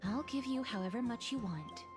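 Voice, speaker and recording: A woman voicing a gentle, solemn young woman in a game, speaking softly. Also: fairly high pitch, lively intonation, moderate pace, over music, natural voice. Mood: calm. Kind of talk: game voice acting.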